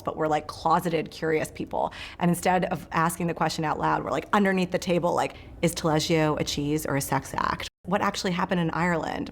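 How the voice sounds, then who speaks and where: A woman's voice: low voice, creaky, a little hiss or hum. A woman in her thirties, a journalist and podcast host, talking candidly to camera about her life with chatty filler words. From United States. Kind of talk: personal essay talk to camera.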